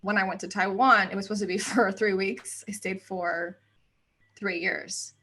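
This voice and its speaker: A woman in her thirties, raised in the United States, chatting as a guest on an interview show, laughing often and filling pauses with "um" and "like". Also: mid-range voice, steady tone, pushed and bright, breathy edge. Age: 30s. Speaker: guest, actor and writer. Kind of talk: casual interview chat.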